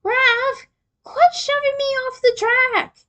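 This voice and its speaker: A woman's voice: very high voice, steady tone, slow pace, sharp tone, voice pushed up, heavily acted. A woman reading the lines of a playful, teasing young friend in a fan story. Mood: competitive.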